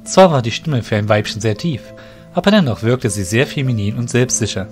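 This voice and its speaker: A man narrates a scripted fantasy audio play. His voice sits at an average pitch for a man. Music is clearly heard beneath the storytelling. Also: expressive, a little fast.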